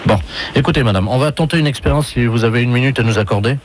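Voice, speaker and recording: A man making a prank phone call, speaking in a polite, official, deadpan manner. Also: mid-range voice, fast talker. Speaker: prank caller posing as aquarium official. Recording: phone prank recording with faint noise.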